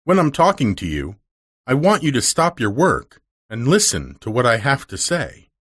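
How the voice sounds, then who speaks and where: A man's voice: medium pitch, huge pitch swings, moderate pace, fairly bright tone, breathy edge, heavily acted. A man voicing a stern, severe, wealthy middle-aged woman who speaks stiffly and dryly, in a storybook reading.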